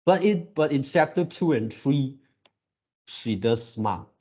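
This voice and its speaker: Male fan commentator, mellow and unhurried, halting delivery that circles back on words. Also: mid-range voice, expressive. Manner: halting, repeats words and searches for phrasing. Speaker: video creator reacting to a game series. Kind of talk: casual fan reaction commentary.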